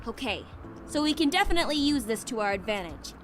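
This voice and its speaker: A woman's voice: a little high, sing-song, fast talker, a little hiss or hum. A woman playing a businesslike, direct young strategist on a mech team in a video game, with music behind. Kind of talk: anime-style game voice acting. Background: game background music.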